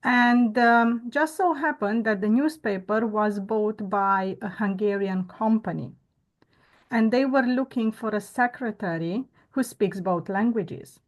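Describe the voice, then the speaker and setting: A woman, a guest telling her story earnestly and reflectively, pausing often on "uh" and "um". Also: medium pitch, rough recording. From Romania. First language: Romanian. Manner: earnest, reflective, with frequent "uh" and "um" pauses. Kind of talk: conversational interview storytelling.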